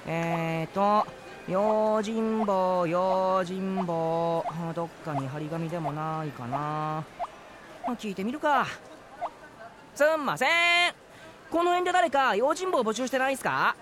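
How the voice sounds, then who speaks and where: A woman's voice: medium pitch, slow, background noise, lightly acted. A woman playing a carefree, irresponsible young male samurai in a comedic audio drama, speaking in casual, boyish slang. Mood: easygoing, curious.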